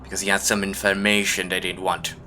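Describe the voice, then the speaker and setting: A man's voice: low voice, flat delivery, brisk, sharp tone, slight background noise. A man acting as game master, chatting casually and answering players in a laid-back, rambling way. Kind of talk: casual chat running a tabletop game.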